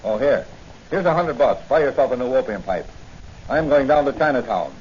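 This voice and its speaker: An actor playing a cool, cynical racketeer who gives curt orders, in 1940s radio style. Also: male voice, medium pitch, lively intonation, moderate pace, darkish tone, natural voice. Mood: coldly commanding. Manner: curt, sardonic. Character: cool cynical male racketeer giving orders, coldly taunting. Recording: muffled noisy 1940 radio recording.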